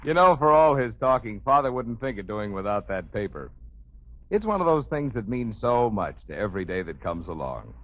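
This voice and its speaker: A man's voice: lowish voice, animated, moderate pace, slight background noise. A man delivering a 1950s radio sponsor message as announcer, with emphatic, folksy salesmanship. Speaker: commercial announcer. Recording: dull vintage 1950s radio recording.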